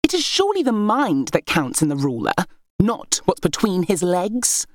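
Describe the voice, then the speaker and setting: A woman narrator acts out an imperious young royal heir from a historical storybook. She is proud, scornful and quick to fury, her pitch swooping upward over a dry recording. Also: wildly swooping pitch, dry recording. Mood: contemptuous.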